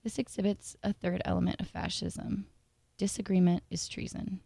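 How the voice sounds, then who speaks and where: A woman reading a scripted analytical video essay in a measured, formal way. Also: on the low side, little pitch movement, gravelly, warm tone, slightly rough, dry recording, clean studio sound.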